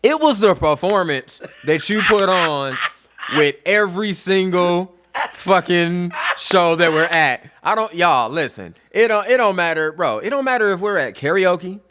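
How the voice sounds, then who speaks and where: Male host, slangy, laughing, lively intonation, casual chat. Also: high-pitched, a little slow, slight background noise. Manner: loose, slangy, laughing, animated. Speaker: podcast host.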